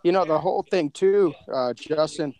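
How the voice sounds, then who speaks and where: A man's voice: fairly high pitch, normal speed, darkish tone. A man, a podcast co-creator, telling anecdotes in a casual, conversational way.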